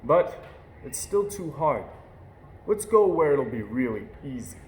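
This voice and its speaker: A man's voice: medium pitch, big pitch swings, a little slow. A man voicing a kindly, patient father with an easygoing, reassuring manner, recorded in an echoey room with faint hiss.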